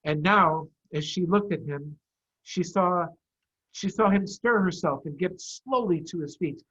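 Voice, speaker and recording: A man, a head of school, chatting to children and reading them a story in an animated way over a video call. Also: sits high, measured pace, slightly rough. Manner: animated, addressing children with simple questions. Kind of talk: children's storytime read-aloud. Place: office. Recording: dull, lo-fi video-call audio.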